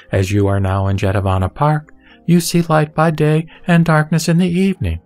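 A man giving a solemn scripted recitation of Buddhist scripture, with deliberate, measured phrasing and emphatic questions. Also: medium pitch, a little mellow. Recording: background music under the voice. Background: music.

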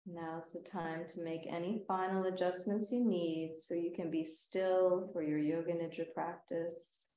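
A woman leading a guided meditation as a yoga nidra teacher, speaking in a soothing, gentle, unhurried way with long pauses. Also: on the low side, steady tone, roomy sound, a little hiss or hum.

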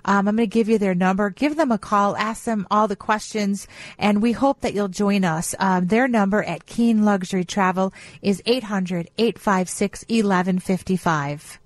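A woman's voice: mid-range voice, normal speed, no room sound. A woman co-hosting a radio show with casual, chatty, playfully teasing banter. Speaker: radio co-host.

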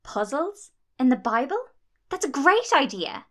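A woman's voice: sits high, very animated, measured pace, fairly bright tone, exaggerated voice. A woman playing a helpful, earnest angel of good in a radio drama, explaining things matter-of-factly. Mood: delighted.

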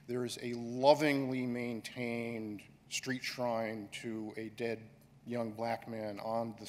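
A middle-aged man giving a keynote speech from a podium on an auditorium stage, speaking deliberately and emphatically with pauses. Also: medium pitch. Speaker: conference director giving a keynote. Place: large auditorium stage, indoors. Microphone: podium microphone. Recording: reverberant hall with faint room noise.

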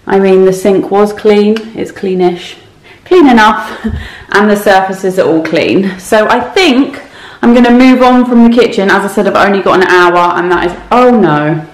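A woman's voice: mid-range voice, a little slow. A woman in her thirties chatting casually while she tidies her home, with a light, self-mocking way of talking. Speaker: cleaning vlogger. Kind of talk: casual clean-with-me vlog chat. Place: home kitchen and rooms, indoors. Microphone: camera mic, handheld and set on the counter. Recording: echoey kitchen room.

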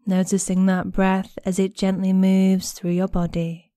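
Slow, mellow, soothing woman meditation guide. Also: mid-range voice, completely flat delivery, no room sound, clean studio sound. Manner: gentle, soothing, unhurried with long pauses. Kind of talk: guided body scan meditation narration.

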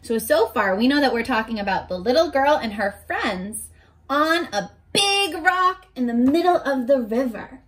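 A woman in her twenties reading aloud and teaching young children in an animated, encouraging way, pausing to ask questions, recorded at arm's length indoors. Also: high voice. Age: 20s. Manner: animated, encouraging, asks questions and pauses for answers. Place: small furnished bedroom, indoors.

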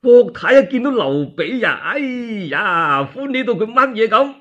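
A Cantonese man narrates a dramatic radio tale in a chatty, colloquial way. His voice is husky and swings widely in pitch. The recording is dull and lo-fi. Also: a little slow. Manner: animated, chatty asides to listeners. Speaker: storyteller.

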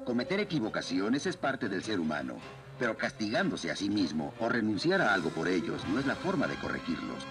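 Lowish, lively fatherly cartoon soldier. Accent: Latin American Spanish. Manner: measured, instructive. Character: middle-aged male soldier-inventor, fatherly, reassuring mentor. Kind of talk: animated cartoon dub. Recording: music under the voice.

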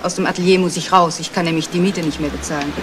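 A woman's voice: fairly low pitch, steady tone, quick pace, natural voice. A woman playing a frank, flirtatious, hard-up photographer, chatty and wry, in 1970s radio crime drama style. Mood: wry, confiding. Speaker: actress. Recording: noisy old 1970s radio play recording.

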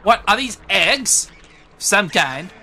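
A man improvising a comedy dub over game cutscenes in a goofy, exaggerated, shouty way. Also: high voice, sing-song, slow pace, slight background noise. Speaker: comedic dubber. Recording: loud music behind.

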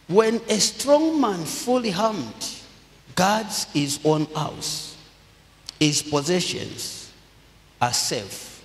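A middle-aged man from Zambia, preaching a sermon slowly and deliberately into a handheld microphone, leading the congregation in prompts. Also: on the high side, slight background noise. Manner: slow, deliberate preaching with call-and-response prompts. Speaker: pastor. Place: large church hall with congregation. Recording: long hall reverb.

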